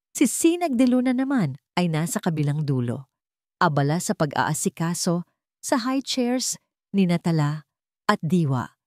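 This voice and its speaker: A woman reading a scripted, dramatic love story in a measured, solemn storytelling manner. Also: lowish voice, a little mellow, dry recording, studio quality. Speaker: story narrator.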